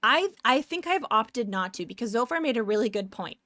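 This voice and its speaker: A woman's voice: fairly high pitch, animated, brisk, bright, forceful tone, no echo, studio quality. A young woman with a Canadian accent, chatting casually with her stream viewers, rambling and upbeat.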